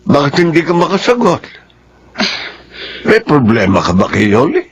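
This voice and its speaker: An actor playing a genial, inquisitive middle-aged uncle in a radio drama, speaking in a measured, probing way. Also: male voice, a little high, huge pitch swings, a little hiss or hum. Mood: genial, inquiring.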